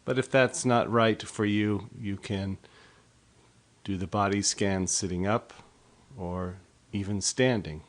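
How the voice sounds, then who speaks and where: A man leading a guided body scan meditation in a slow, soft, unhurried way. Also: fairly low pitch, steady tone.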